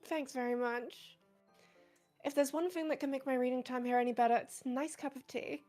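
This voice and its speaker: A woman playing a shy, polite, bookish high school girl with a soft, hesitant delivery in a visual novel game. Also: fairly even intonation, brisk, soft background music, natural voice.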